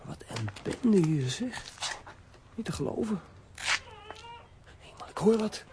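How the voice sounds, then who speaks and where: A woman's voice: on the low side, very animated, slow pace, background noise, full character voice. A woman playing a plucky, determined boy hero in a children's audio drama, earnest and eager. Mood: curious, determined.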